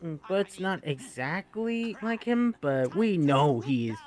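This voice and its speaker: A man's voice: fairly high pitch, sing-song, takes it slowly, darkish tone. A man giving casual commentary as he watches a cartoon. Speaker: co-host of a cartoon riffing show.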